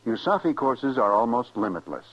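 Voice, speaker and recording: A man with an American accent and a husky voice reading a scripted public service announcement as an old-time radio announcer. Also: mid-range voice, big pitch swings, normal speed, a bit bright. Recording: muffled old radio recording.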